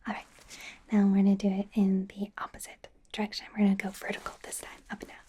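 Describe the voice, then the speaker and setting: A woman playing a friendly, self-correcting werewolf doctor in an ASMR roleplay, speaking in a soft, gentle, hesitant whisper. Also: mid-range voice, completely flat delivery, fast, natural voice. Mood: gentle, attentive.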